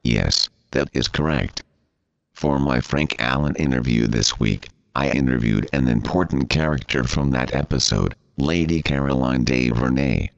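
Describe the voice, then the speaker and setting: A synthetic computer voice playing a formal, easily flustered male interviewer, very deep and gravelly. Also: a little slow, full character voice. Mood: formal, dry. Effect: computer text-to-speech voice. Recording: dull, muffled audio.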